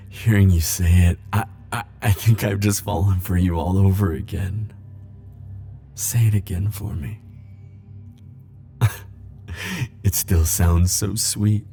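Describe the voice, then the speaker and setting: An actor playing a lovestruck immortal man, a tender and yearning hopeless romantic, in an intimate ASMR roleplay, with breathy, hesitant, pleading phrasing. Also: low voice, dark tone, heavily acted. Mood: smitten, flirtatious.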